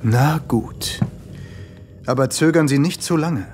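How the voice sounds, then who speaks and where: Coaxing, manipulative smooth talker. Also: male voice, on the high side, slow, a little mellow, a little hiss or hum. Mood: cool, insinuating. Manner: coaxing, persuasive, insinuating. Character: smooth-talking, manipulative male tempter and buyer. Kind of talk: comic audio drama acting.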